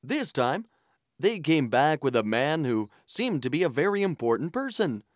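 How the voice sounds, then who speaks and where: An actor playing an earnest, polite traveler who tells his adventure in an expressive children's story style. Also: fairly high pitch, normal speed, no room sound, clean studio sound. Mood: earnest storytelling. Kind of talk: scripted children's story narration in character. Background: music.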